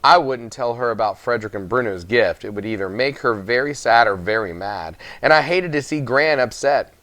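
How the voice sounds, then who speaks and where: A man's voice: mid-range voice, expressive, normal speed, a bit bright, no room sound. A man narrating a children's book expressively as a story reader.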